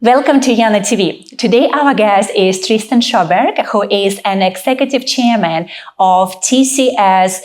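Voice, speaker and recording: A woman hosts an interview show, posing curious questions and nudging her guest along with brief affirmations. Her voice sits at a middle pitch for a woman. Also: unhurried, a little mellow, faint music behind.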